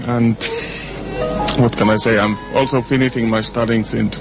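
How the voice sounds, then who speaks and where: Baritone man over loud music. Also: flat delivery, normal speed. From Finland. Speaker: baritone singer.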